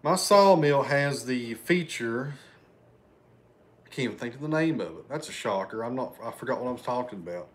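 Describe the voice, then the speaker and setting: A man's voice: mid-range voice, lively intonation, normal speed, slight background noise. Chatty man on a home livestream. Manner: chatty, thanks viewers by name, loses his train of thought. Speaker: livestream host. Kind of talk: casual livestream chat reading super chats. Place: living room at home, indoors.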